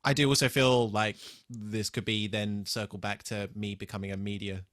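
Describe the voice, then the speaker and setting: A man's voice: lowish voice, little pitch movement, normal speed, a bit bright, no echo, studio quality. A man co-hosting a podcast, talking casually through episode notes in a hesitant way with the odd swear word. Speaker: podcast co-host.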